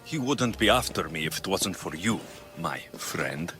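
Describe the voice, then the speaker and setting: An actor playing a gruff, honest and weary mercenary with a dry, sardonic delivery in a video game. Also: male voice, deep voice, expressive, measured pace, sharp tone, slight background noise, exaggerated voice. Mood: exasperated. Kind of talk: video game cutscene acting.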